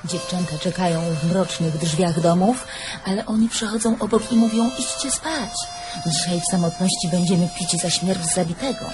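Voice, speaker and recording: A woman's voice: fairly low pitch, normal speed, over music, lightly acted. An actress playing a grave, watchful female storyteller in a radio drama chorus, declaiming her lines. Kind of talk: theatrical radio drama narration.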